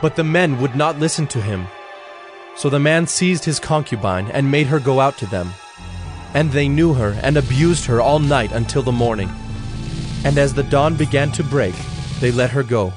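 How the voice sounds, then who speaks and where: A man narrating a dramatized scripture in a measured, solemn, scripted style. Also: mid-range voice. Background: background music.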